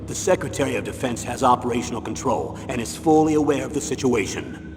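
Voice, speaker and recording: A man voicing an older retired army colonel in a video game, an earnest, guarded commander with a measured, formal military delivery. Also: medium pitch, expressive, noisy background, natural voice. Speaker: mission commander briefing an operative. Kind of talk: video game voice acting, dramatic briefing. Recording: long echoing reverb, loud music behind.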